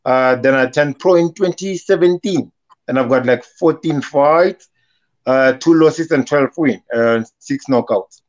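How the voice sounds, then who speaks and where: A young man from South Africa, a boxer guest answering interview questions with many pauses. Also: mid-range voice. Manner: hesitant, many uh fillers. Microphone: video call. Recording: lo-fi video call audio.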